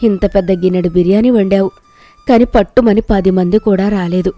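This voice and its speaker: A woman's voice: mid-range voice, fairly even intonation, fairly quick, mellow, no echo, faint music behind, close to an everyday voice. A woman voicing a doubtful older village mother-in-law in a cartoon tale, fretful and questioning. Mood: worried, complaining.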